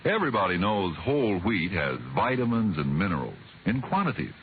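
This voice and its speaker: A man's voice: fairly low pitch, big pitch swings, a little fast, slightly rough. A man delivering a folksy, scripted 1950s radio commercial. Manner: folksy, rhetorical questions. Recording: narrow vintage radio recording, faint noise.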